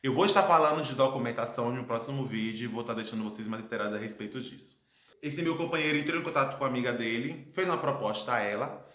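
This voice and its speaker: A man's voice: medium pitch, a bit bright. Brazilian man telling his story in an echoey room. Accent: Brazilian Portuguese. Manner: earnest, reflective storytelling with dramatic pauses. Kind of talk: personal testimony monologue. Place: his home, indoor room.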